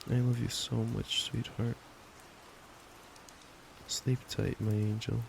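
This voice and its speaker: A man's voice: mid-range voice, takes it slowly, creaky. A young man doing intimate boyfriend ASMR and bedtime reading, speaking in an affectionate, casual, playful way. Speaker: ASMR roleplayer playing a boyfriend. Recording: steady rain noise. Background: rain sounds.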